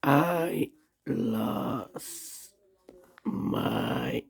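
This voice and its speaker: A puzzle instructor who has lost their voice, whispering hoarsely while talking through a puzzle solution and repeating rule phrases while counting cells aloud. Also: mid-range voice, wildly swooping pitch, drawn-out words.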